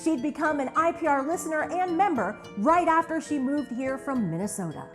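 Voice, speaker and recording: A woman's voice: high-pitched, lively intonation, a little fast, faint music behind. A woman reading scripted narration as the narrator of a documentary.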